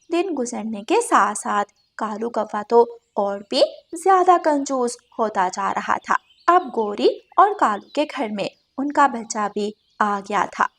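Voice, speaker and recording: A woman's voice: fairly high pitch, fairly bright tone. A woman narrating a scripted children's moral story.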